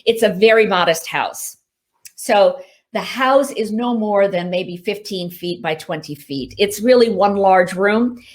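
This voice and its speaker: A woman's voice: mid-range voice, measured pace. A woman whose first language is Hindi, an author chatting casually through reader questions at a virtual event, laughing easily and using lots of fillers. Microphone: video call mic.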